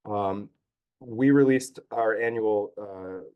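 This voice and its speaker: A hoarse man giving a talk over a video call, halting with many fillers. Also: on the low side, highs cut off. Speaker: guest speaker, advocacy group staff chief. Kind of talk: online talk.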